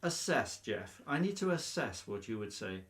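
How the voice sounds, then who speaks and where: A male actor playing a breezy, nosy, officious vicar in a radio comedy, briskly polite and openly biased. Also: fairly low pitch, sing-song, a bit bright. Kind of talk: radio play comedy acting.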